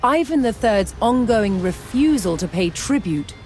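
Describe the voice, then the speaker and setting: A woman giving scripted, documentary-style narration for a historical strategy game, measured and formal in her storytelling. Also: fairly high pitch, lively intonation, a little mellow. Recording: music behind the voice. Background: music.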